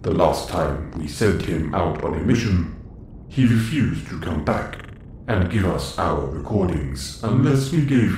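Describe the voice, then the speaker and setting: A deep-voiced android commander, acted by a man, formal and clinical in an audio roleplay. The delivery is slow yet swings widely in pitch, with a roomy echo around it. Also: a little mellow. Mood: clinical, explanatory. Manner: precise, formal, clinical phrasing. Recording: roomy echo, faint background noise.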